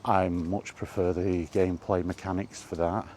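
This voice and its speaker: A man chatting casually in a rambling solo monologue, halting and musing, often repeating his phrases, recorded outdoors. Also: sits low, level tone, darkish tone, slight background noise. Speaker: host of a gaming chat series. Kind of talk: casual rambling monologue about video games.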